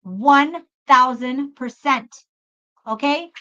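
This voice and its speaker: Female tarot host, high voice, chatty and emphatic, repeats herself; muffled live-stream sound. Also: very slow, bright, forceful tone. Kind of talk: live spiritual tarot reading, casual chat with viewers. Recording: dull lo-fi live stream audio.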